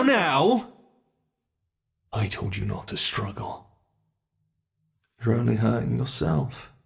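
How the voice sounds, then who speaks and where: A man's voice: medium pitch, vocal fry. A man voicing a gleeful, predatory monster from under the bed in a horror ASMR roleplay, theatrical and taunting as he addresses the listener. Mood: menacing, mocking. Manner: theatrical, taunting, slow and relishing.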